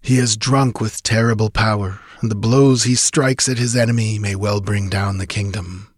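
A man's voice: fairly low pitch, steady tone, normal speed, dry recording, studio quality, close to an everyday voice. Man voicing a sepulchral, commanding ancient sage. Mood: grave warning. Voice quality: sepulchral, ghostly tone. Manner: grandiose, archaic, oratorical delivery. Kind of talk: dramatic audiobook fantasy reading.

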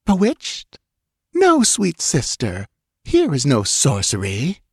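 Guy doing an evil young witchy woman for a fantasy audiobook, high voice, sweet but nasty and bossy, dry sound. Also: wildly swooping pitch, takes it slowly, breathy edge, no echo, full character voice. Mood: sweetly mocking. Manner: sweet, venomously mocking, imperious. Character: malevolent young sorcerous woman, mocking evil twin. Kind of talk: dramatic audiobook fantasy character voice.

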